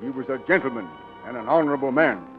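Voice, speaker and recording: An actor playing a courtly, fussily indignant older Southern gentleman in a 1940s radio drama, speaking emphatically. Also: medium pitch, fast talker, close to an everyday voice. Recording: tinny 1940s radio broadcast, music behind.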